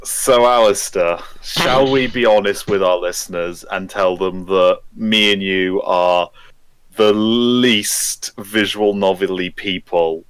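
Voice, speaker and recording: A man with a fairly low voice chats casually on a podcast. He is jokey and self-deprecating, often quoting himself as he tells a story. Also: slow, fairly bright tone, smooth, no room sound. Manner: jokey, quotes himself animatedly. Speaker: podcast panelist. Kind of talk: casual chat with self-mocking anecdotes.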